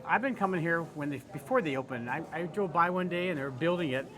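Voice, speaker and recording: A man's voice: on the high side, animated, quick pace, over music. A man hosting a food show as a food critic, upbeat and playfully bantering with his co-host. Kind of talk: show host intro and casual chat.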